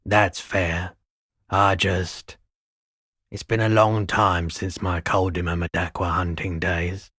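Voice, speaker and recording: Mild pawn shop keeper, low-pitched male voice, soft-spoken, courteous, reflective, world-weary, dry studio sound, game dialogue. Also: little pitch movement, takes it slowly, husky, no room sound, exaggerated voice. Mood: wistful, musing. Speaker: video game voice actor.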